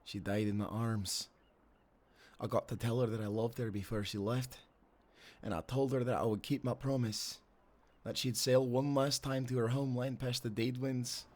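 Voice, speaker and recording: An actor playing a tender, grieving old sailor with a Scottish accent in an audio drama. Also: male voice, mid-range voice, fairly even intonation, normal speed, a little mellow, natural voice. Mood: somber, gentle.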